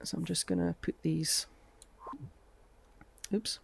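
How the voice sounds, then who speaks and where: Gravelly woman with a cough. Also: low-pitched, big pitch swings, takes it slowly, a little hiss or hum. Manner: chatty, thinks aloud, frequent ums and soft asides. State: voice cutting out from a lingering cough. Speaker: game streamer. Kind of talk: casual gameplay commentary.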